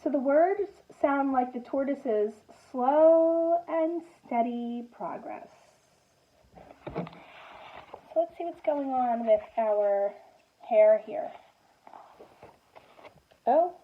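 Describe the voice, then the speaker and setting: A woman teacher doing an expressive picture-book read-aloud for young children, commenting and asking prompting questions in an animated way. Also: on the high side, phone-quality sound. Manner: expressive, animated storytelling with gasps and exclamations.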